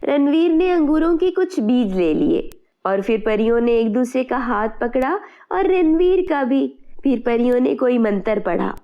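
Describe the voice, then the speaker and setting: High-pitched woman narrating a children's story. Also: very animated, a little slow, hardly any pauses, dull audio.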